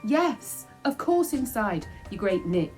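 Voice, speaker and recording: A woman playing an elderly storybook nanny who bosses and fusses in equal measure. She is brisk and coaxing, scolding one moment and doting the next. Faint music plays behind her. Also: fairly high pitch, sing-song, a little mellow, voice pushed up. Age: older. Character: bossy, doting old nanny, affectionate and scolding. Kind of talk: children's storybook character voice.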